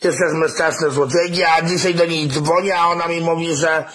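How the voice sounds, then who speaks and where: A man's voice: on the high side, fairly even intonation, normal speed, fairly bright tone. A man explaining something on a phone call in a hesitant, rambling way. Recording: phone recording with faint noise.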